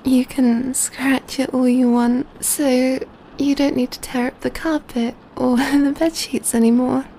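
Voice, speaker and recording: Woman playing a high-voiced, doting, gentle vampire. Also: fairly even intonation, measured pace, few pauses, a little hiss or hum. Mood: tender, playful. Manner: tender, cooing, soothing, often dropping to whispers. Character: gentle doting female vampire pampering her catgirl. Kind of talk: ASMR roleplay, soft intimate speech.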